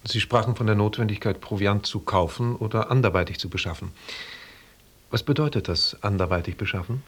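An actor playing an inquisitive, precise expedition member in a German radio drama, speaking formally and deliberately with dry logic. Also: male voice, lowish voice, husky.

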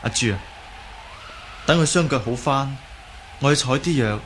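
An actor playing a concerned, good-natured young martial arts hero in a Cantonese radio drama, earnest and hesitant. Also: male voice, medium pitch, normal speed, fairly bright tone, natural voice. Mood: earnest, worried. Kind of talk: Cantonese audio drama acting. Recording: clearly noisy background.

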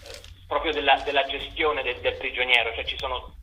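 A man's voice: medium pitch, steady tone, a little fast, pushed and bright, low-quality recording. A young man, a theater author and director, giving articulate, discursive interview answers in long winding sentences. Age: young adult.

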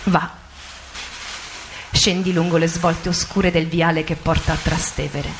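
A woman narrator giving a dramatic literary reading in radio theatre, with measured, deliberate phrasing. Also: low-pitched, little pitch movement, noisy background. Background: music.

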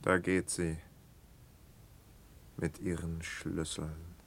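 Anxious, brusque wanderer for radio drama. Very deep register, slow, terse delivery. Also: female voice, level tone, a little hiss or hum, heavily acted. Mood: resigned. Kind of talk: literary radio drama acting.

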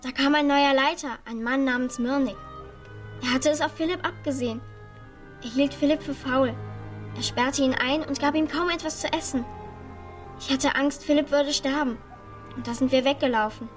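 A woman's voice: high-pitched, steady tone, moderate pace, close to an everyday voice. An actress in a children's radio play voicing a cautious, protective orphan girl who speaks plainly and earnestly. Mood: earnest, sad. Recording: studio radio play with faint music.

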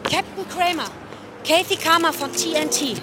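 An actress playing a probing female TV news reporter in a radio drama, crisp and insistent, speaking over outdoor city noise. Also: very high voice, normal speed. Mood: pressing. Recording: noisy outdoor city street with traffic.

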